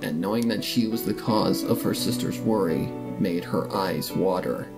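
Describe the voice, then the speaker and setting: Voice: deep voice, moderate pace. A narrator reading a scripted story in the third person, with music playing behind.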